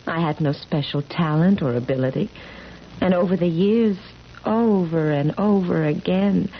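An actress playing a solemn, insistent young wife who never ages, in 1970s radio drama. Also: female voice, on the low side, unhurried. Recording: dull, noisy 1970s radio recording.

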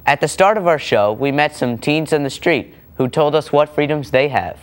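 A teenage boy co-hosting a studio TV show, reading scripted lines with a steady, rehearsed delivery. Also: on the high side, moderate pace, clean tone. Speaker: co-host. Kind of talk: scripted TV presenting. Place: indoor TV studio.

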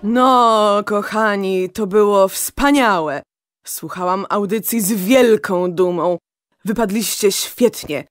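A woman's voice: mid-range voice, slow pace, no echo, studio quality, close to an everyday voice. A woman playing a proud but firm class teacher in a children's radio play. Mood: proud, praising.

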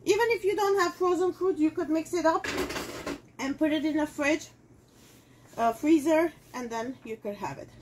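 A woman's voice: sits high, moderate pace, darkish tone, a little hiss or hum. A middle-aged woman, a native Armenian speaker, chatting casually through a cooking demo in her home kitchen, with loose phrasing and many fillers. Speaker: cooking show host selling kitchenware.

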